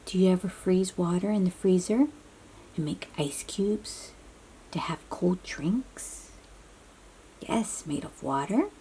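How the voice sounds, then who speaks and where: A woman with a soft, breathy voice reads a picture book aloud to young children, gently explaining and chatting to them as she goes. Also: on the low side, a little hiss or hum, poor audio. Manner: slow, child-directed, encouraging questions and affirmations. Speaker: read-aloud storybook host.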